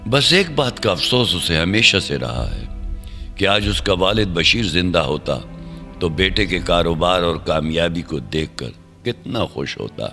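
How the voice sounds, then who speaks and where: A man narrating a scripted radio drama as a measured, solemn storyteller. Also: low-pitched, expressive, bright, forceful tone. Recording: faint music behind the voice.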